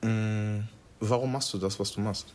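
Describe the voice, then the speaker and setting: A man's voice: lowish voice, steady tone, normal speed, darkish tone, soft background music. A young man who runs school workshops on discrimination, telling his story casually on a panel and hunting for words with many fillers. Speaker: anti-discrimination school workshop trainer, guest. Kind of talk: personal account in a panel talk.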